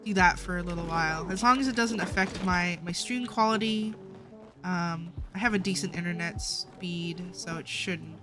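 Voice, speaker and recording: A tired woman chatting casually as she livestreams a game, with a slightly stuffed-up nasal voice and a halting, stammering delivery that often repeats words. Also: lowish voice. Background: music.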